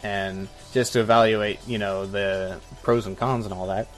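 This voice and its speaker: A man's voice: lowish voice, steady tone, normal speed, faint music behind. A man co-hosting a casual comedic advice podcast, wry and hedging, given to joking asides. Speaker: podcast co-host.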